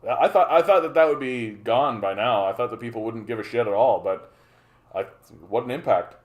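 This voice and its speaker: A man of forty from Canada talks casually on a livestream at a middling pitch. He rambles, repeats himself and fills gaps with "uh", stopping often to greet viewers by name. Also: normal speed. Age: 40s. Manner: rambling, repeats phrases, many fillers. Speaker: livestream host.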